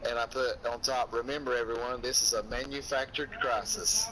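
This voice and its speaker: A man speaks over a game voice chat with a clear hiss of background noise. He keeps his reactions short and laid-back. His voice sits at a middle pitch. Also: fairly even intonation, a little fast. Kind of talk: casual chat.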